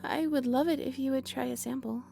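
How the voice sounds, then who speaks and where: A woman playing a polite, shy owl shopkeeper in a romantic roleplay audio drama, speaking gently and hesitantly. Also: sits high, fairly even intonation, fairly quick, gravelly, faint music behind, pitched above the performer's own voice. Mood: shy, warm.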